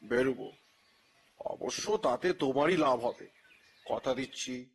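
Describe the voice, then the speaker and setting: An actor playing a genial, teasing elderly colonel who is an amateur detective in an audio story, with an unhurried, knowing delivery. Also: male voice, medium pitch, slight background noise, lightly acted. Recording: music bed under the voice.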